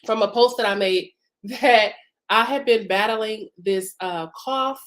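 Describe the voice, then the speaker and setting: A woman's voice: medium pitch, slow, background noise. A woman business coach hosting a live broadcast, chatting informally with many fillers while congested from a cough and sore throat. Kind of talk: casual live-stream teaching.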